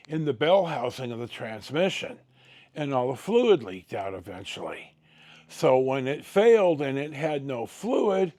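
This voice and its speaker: An American man in his sixties tells a personal story in a casual, colloquial way. His voice is mellow and somewhat high for a man, and it moves up and down in a lively way as he goes haltingly along. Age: 60s. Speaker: storyteller, vlog host.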